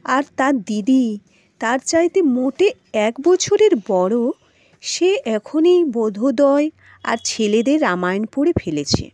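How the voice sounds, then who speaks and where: A woman storyteller narrating a children's story in an expressive, dramatic and emphatic way. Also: high-pitched, takes it slowly, no echo.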